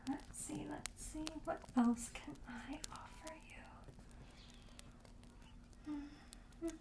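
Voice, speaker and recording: A friendly, slightly morbid witch shopkeeper played by a woman in an ASMR roleplay, with a high, mellow, breathy voice. She speaks slowly and softly, often slipping into a whisper as she gently coaxes a customer toward her curiosities. The sound is roomy and echoey. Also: steady tone, heavily acted. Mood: softly matter-of-fact. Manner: gentle, coaxing sales patter with casual hesitations.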